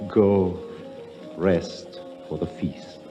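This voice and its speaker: An actor playing a calm, formal older temple priest in a 1950s radio drama, speaking in a measured, courteous way. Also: male voice, deep voice. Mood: reassuring, enigmatic. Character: elder priest of an Indian temple, calm, formal, enigmatic man. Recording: dull vintage 1950s radio recording, faint music.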